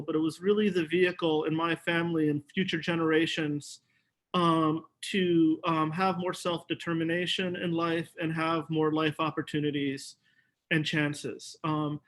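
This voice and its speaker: A man's voice: high voice, fairly bright tone. A middle-aged man, a professor, speaking earnestly and conversationally as a panelist on a video call.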